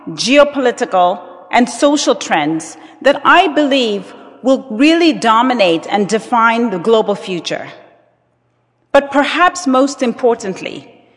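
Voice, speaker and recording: A woman, giving a formal address as guest speaker with a measured, emphatic delivery. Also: a little high. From Zambia. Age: 50s. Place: large auditorium with audience. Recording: reverberant hall.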